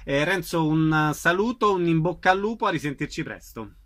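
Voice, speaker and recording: Higher-voiced male radio host. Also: expressive, normal speed, a bit bright. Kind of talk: radio interview, announcing events and questioning guests.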